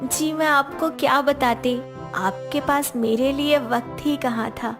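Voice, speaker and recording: A woman voicing a gentle devoted young wife. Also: high-pitched, fairly even intonation, unhurried, music in the background. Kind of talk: storybook voice acting.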